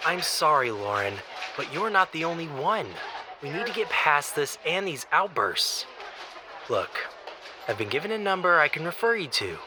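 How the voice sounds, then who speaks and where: A man's voice: fairly high pitch, sing-song, normal speed, noisy background. A male actor playing a stern, exasperated boss in an audio drama, formal and reproving. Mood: firm, reproving. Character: exasperated, officious male boss firing an employee. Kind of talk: audio drama dialogue acting.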